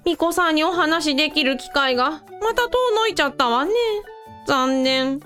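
ラジオドラマで、優しくおっとりした思いやりのある母親を演じる女性。. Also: female voice, sits high, expressive, normal speed, a bit bright, faint music behind. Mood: sympathetic. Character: gentle, easygoing, sympathetic mother. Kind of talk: amateur anime-like radio drama acting.

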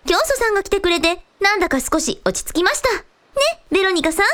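Actress as a very high-pitched, courteous girlish woman. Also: lively intonation, fast talker. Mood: relieved, helpful. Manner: courteous, gently chiding. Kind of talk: comic radio drama acting.

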